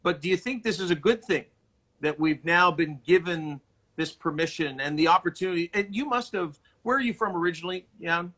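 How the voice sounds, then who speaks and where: A man's voice: high voice, a little fast, sharp tone. A man hosting a conversational interview, earnest and wordy as he circles his way into questions. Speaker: interview host. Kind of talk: conversational video-call interview.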